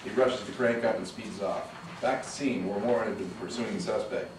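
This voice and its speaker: Deep-voiced man reading stage directions. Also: fairly even intonation, a little fast. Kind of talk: screenplay table read narration. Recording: echoey room from a distance, noisy.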